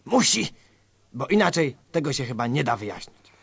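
An actor playing a nervous, paranoid colonel, confiding and melodramatic, in a satirical radio sketch. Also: male voice, mid-range voice, very animated, moderate pace, vocal fry, a bit bright, breathy edge, no room sound, heavily acted. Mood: grave, alarmed. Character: nervous, conspiratorial male colonel, paranoid and grave.

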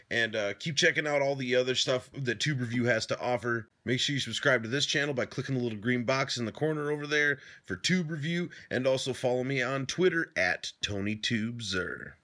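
Dude in his 30s wrapping up his video on webcam, bright forceful voice. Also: medium pitch. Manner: friendly direct address, quick run-through of requests. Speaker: channel host. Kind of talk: video outro to viewers. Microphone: webcam close-up.

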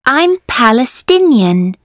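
A woman reading textbook dialogue lines slowly and carefully as a model for learners. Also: high voice, very animated, no echo, studio quality.

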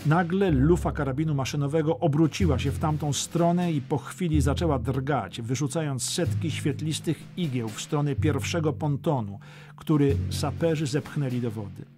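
A man's voice: on the high side, moderate pace, over music. A man narrating a dramatic history audiobook from a script.

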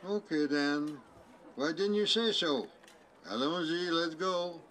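A man voicing a gruff, sleepy fire chief puppet, blunt and drawn-out, in a live puppet show. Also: fairly high pitch, fairly bright tone, slight background noise, rough recording. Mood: won over. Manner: slow, drawn-out, blunt, switching into French. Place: outdoor farmyard puppet booth.

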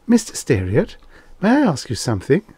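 Male reader as curious polite wife, raised pitch, lilting delivery, comic storybook. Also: wildly swooping pitch, brisk, darkish tone, husky, no echo, exaggerated voice. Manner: polite, inquisitive, higher-pitched put-on voice.

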